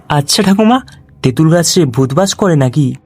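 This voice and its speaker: A man voicing a brash, skeptical young city man in a cartoon ghost story, with an excitable delivery. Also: fairly high pitch, a little slow, dry recording, faint music behind. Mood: mocking, daring. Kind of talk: animated horror story acting.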